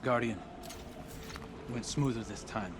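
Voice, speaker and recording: A man's voice: medium pitch, slow, heavy background noise, lightly acted. An actor playing a defensive, brooding young male warrior in a video game, terse and bristling with wounded pride.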